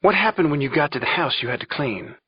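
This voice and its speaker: Man as a controlled, husky courtroom lawyer. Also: on the high side, very animated, no echo, muffled recording, pitched above the performer's own voice, exaggerated voice. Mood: probing, controlled. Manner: measured, formal questioning. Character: male trial lawyer questioning a witness, controlled.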